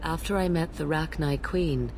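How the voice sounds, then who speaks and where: A woman voicing a solemn, devoted female alien messenger in a video game, speaking in an earnest, calm and reverent way. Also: low-pitched, moderate pace, a little hiss or hum. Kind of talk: scripted video game dialogue.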